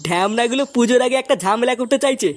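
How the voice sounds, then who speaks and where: A man's voice: very high voice, very fast. A man voicing a brash, bullying young tough guy in a comic cartoon. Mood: indignant.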